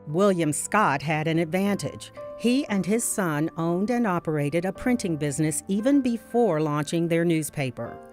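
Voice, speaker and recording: A woman's voice: on the low side, lively intonation, normal speed, few pauses, a little mellow. A woman reading scripted documentary narration as the narrator. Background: guitar music.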